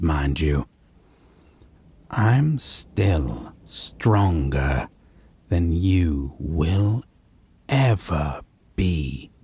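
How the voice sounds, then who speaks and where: A predatory, possessive vampire, acted out by a man in an audio roleplay, with a deep, dark voice full of breath and husk. He taunts the listener in extremely slow, drawn-out phrases, slipping into whispers, menacing and domineering. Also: heavily acted. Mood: mocking contempt. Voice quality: husky, breathy, low.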